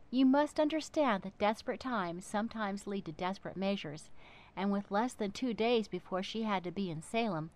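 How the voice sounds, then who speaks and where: A woman reads a children's story aloud with a very lively, swooping pitch. Her delivery is theatrical, leaning on key words and pausing for drama. Her voice sits at a medium height for a woman. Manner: theatrical, dramatic emphasis and pauses. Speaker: narrator reading a children's book. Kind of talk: expressive storybook narration.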